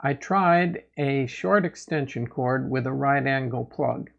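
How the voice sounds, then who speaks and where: Male gear reviewer, unhurried with vocal fry, plain and measured narration, somewhat muffled lo-fi sound. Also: medium pitch, fairly bright tone. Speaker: host of a motorcycle gear review channel. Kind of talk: product update narration.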